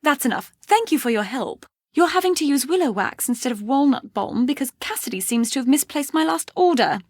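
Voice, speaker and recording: Bossy, high-voiced spa therapist. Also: female voice, expressive, a little fast, dry recording, clean studio sound. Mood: exasperated. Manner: chatty, dryly bossy. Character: brisk, bossy, grumbling female spa head therapist. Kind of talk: video game character dialogue.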